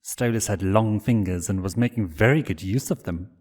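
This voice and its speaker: A man reading fan fiction aloud as the narrator, in an expressive storytelling style. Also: on the low side, normal speed. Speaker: fanfiction reader.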